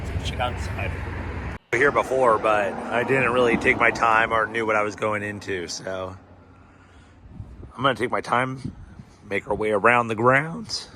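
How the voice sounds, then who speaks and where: Male vlogger, low-voiced and hoarse-sounding, casual outdoor tour, wind buffeting the mic. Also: fairly even intonation, unhurried, pauses often. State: losing his voice. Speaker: vlogger touring a film location. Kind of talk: casual walking tour commentary. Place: outdoors at a roadside barbecue spot.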